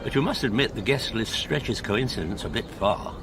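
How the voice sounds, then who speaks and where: An actor playing a curious, meticulous older English gentleman with an upper-class accent, speaking politely in a radio drama. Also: lowish voice, expressive, moderate pace, background noise. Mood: polite, intrigued.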